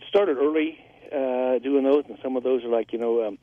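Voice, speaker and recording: A man calling in to a radio talk show, a voice actor chatting with many hesitant pauses. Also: medium pitch, no room sound. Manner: halting, many filler pauses. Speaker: caller, voice actor. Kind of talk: call-in chat. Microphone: phone. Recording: tinny phone line.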